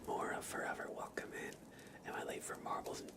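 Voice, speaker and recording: A man hosting an ASMR livestream, chatting casually and gently with viewers in a soft, breathy whisper. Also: moderate pace. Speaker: live ASMR streamer and host.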